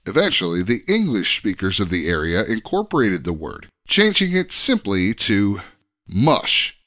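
Male podcast guest expert, lower voice, very animated intonation, articulate storyteller for informative history segments. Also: measured pace. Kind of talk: informative scripted-sounding podcast explanation.

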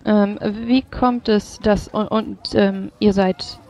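A woman's voice: medium pitch, fairly even intonation, slow, mellow. A woman playing a businesslike, politely formal official taking a record in a tabletop roleplay, with some hesitation. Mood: polite probing.

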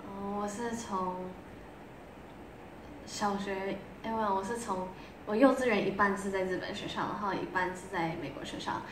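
A young woman being interviewed as a vocal student, answering softly in a casual way with brief fillers. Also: mid-range voice, moderate pace, noisy background. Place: living room, on a sofa. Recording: echoey room with music behind.

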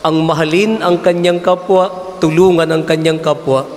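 A Filipino priest in his forties preaching a homily at a lectern mic, measured and asking rhetorical questions. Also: a little high, fairly even intonation, darkish tone. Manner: measured, asks rhetorical questions, mixes in English terms. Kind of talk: sermon. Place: large church, indoors. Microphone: stand mic at lectern. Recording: echoey church with long reverb.

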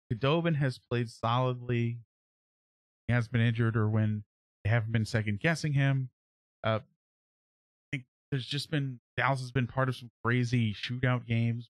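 Man, sports podcast host, medium pitch, casual analysis, halting delivery, thinking aloud, dry sound. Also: steady tone, dry recording. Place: small indoor room at home, on a livestream webcam.

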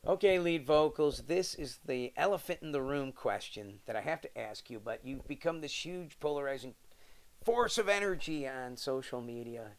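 A man's voice: medium pitch, expressive, moderate pace. A middle-aged man with an American accent hosting an interview show, presenting emphatically like a showman. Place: wooden sunroom indoors.

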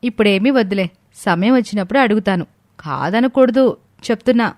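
A woman's voice: mid-range voice, a little fast, a little mellow, dry recording, studio quality, close to an everyday voice. A woman playing a sweet young expectant wife in a family story, polite and playfully coy. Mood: coy, happy. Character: sweet, coy young pregnant wife, respectful daughter-in-law.

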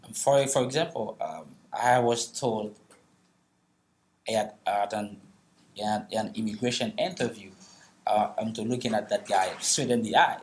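A middle-aged man from Haiti with a Haitian accent, telling personal stories as a guest speaker, halting with many restarts and frequent laughs, in a large meeting room. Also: lowish voice, slight background noise. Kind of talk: storytelling on a panel.

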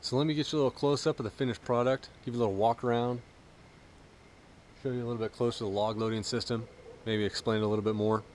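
A man in his thirties giving a relaxed, casual walkthrough of equipment outdoors in a wooded yard, filming himself. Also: medium pitch, quick pace, a little hiss or hum. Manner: relaxed, explanatory, joking. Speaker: vlogger.

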